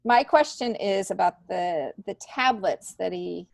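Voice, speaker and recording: A woman's voice: medium pitch, animated, a little slow, gravelly, dry recording. A woman in her fifties talking casually and candidly as a podcast guest, in a loose, rambling, joking way. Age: 50s. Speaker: podcast guest, stand-up comedian.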